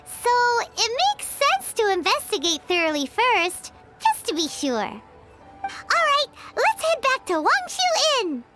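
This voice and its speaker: Super high squeaky fairy sidekick, eager chatterbox, loud game music behind. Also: female voice, wildly swooping pitch, slow, exaggerated voice. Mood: musing. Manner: talks about herself in third person, eager, chatty. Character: small chirpy female fairy companion, excitable. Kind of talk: video game cutscene voice acting.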